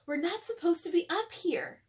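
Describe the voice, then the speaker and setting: A woman's voice: extremely high pitch, moderate pace, darkish tone, pitched above the performer's own voice. A woman voicing a cautious, bookish young boy in a storybook, speaking earnestly and carefully.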